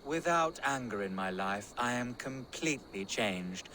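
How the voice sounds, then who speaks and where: A male voice as a slow, deliberate game monk, full of self-righteous piety over buried fury. Clear ambience sits behind him. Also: mid-range voice, expressive, sharp tone, a little hiss or hum, rough recording. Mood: smugly pious. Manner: pious, self-satisfied, slow. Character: sanctimonious convert monk with barely buried murderous rage. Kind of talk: video game cutscene acting.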